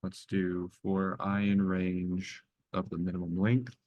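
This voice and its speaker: A young man getting over a cold, talking through a coding test in a halting, self-correcting way. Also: low-pitched, little pitch movement, a little mellow, dry recording. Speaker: student solving a coding test. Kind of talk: think-aloud coding walkthrough.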